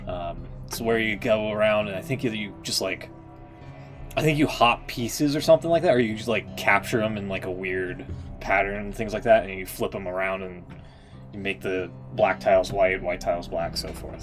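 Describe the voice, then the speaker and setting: A man with a fairly low voice talks casually on a live stream. He thinks aloud in a rambling, hedging way and throws in short reactions, with music playing behind him. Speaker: streamer reacting to game story readings.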